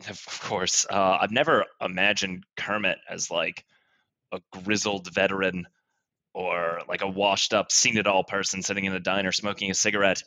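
A man with a lowish, bright voice plays the guest critic on a comedy podcast. He gives casual, mock-serious feedback in a dry recording. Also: little pitch movement, moderate pace, no room sound, rough recording.

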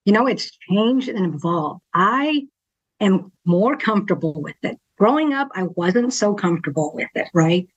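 A woman's voice: medium pitch, expressive, normal speed, slightly rough, lo-fi sound. A middle-aged woman from Texas, a TV news anchor, talking candidly as a podcast guest, laughing often and tagging "right?" onto her points. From Texas, USA. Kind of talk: casual interview conversation.

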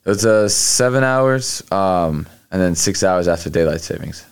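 Young guy being interviewed, super deep voice, lots of um and like. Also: expressive, smooth. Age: young adult. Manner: halting, many fillers and restarts. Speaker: guest, college athlete. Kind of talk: casual interview answers.

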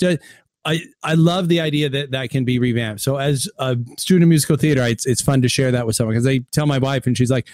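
A man's voice: medium pitch, darkish tone, no echo. A man hosting a casual interview, talking in a wry, chatty way with rambling questions. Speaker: interviewer, host.

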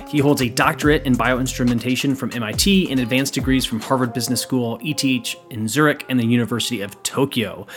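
A man hosting a podcast, reading a scripted intro and then chatting with a guest in a brisk, upbeat way. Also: medium pitch, a bit bright. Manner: brisk and upbeat, with fillers and restarts when unscripted. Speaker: podcast host. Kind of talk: scripted intro read, then casual interview.

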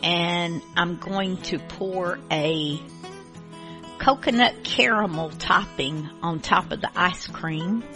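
A woman hosting a radio show with casual, unscripted chat in a halting, playful way. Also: fairly low pitch, sharp tone, faint music behind.

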